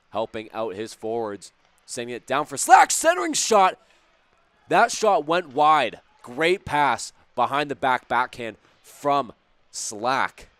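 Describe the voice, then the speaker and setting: Brief: male hockey play-by-play caller, mid-range voice, a bit raspy, chatty, spikes on scoring plays. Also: mid-range voice, fairly bright tone. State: slightly hoarse, raspy voice. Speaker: play-by-play commentator. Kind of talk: live hockey play-by-play commentary.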